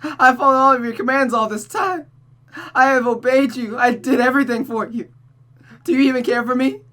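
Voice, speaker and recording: A woman's voice: high-pitched, a little fast, a bit bright, voice pushed up. A woman voicing a desperate, sobbing young woman who pleads and stammers, read from a visual novel. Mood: hurt, accusing. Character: Rosa, sobbing desperate young woman devoted to cruel Mother. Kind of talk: visual novel character reading.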